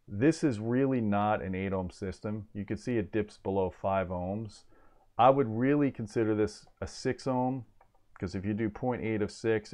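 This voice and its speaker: A man in his forties hosts an informal technical explainer. His voice sits somewhat low. He is plain-spoken and opinionated, stressing his points as he talks into a clip-on mic in a small home office. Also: a little mellow. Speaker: host of an audio gear review channel.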